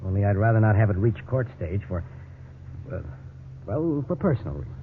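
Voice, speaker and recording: A man's voice: on the low side, sing-song, brisk. Tinny old-radio anxious American family man. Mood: uneasy, probing. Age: middle-aged. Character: worried middle-aged family man, mild, trusting husband and father. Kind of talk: 1950s radio drama acting. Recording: tinny, hissy 1950s radio recording.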